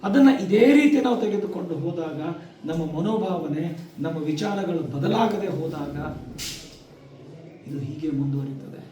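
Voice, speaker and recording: A man giving a motivational speech to students, emphatic, with rhetorical repetition and deliberate pauses. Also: sits high, expressive, dark tone. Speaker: guest speaker addressing schoolchildren. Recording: echoey, distant microphone, faint noise.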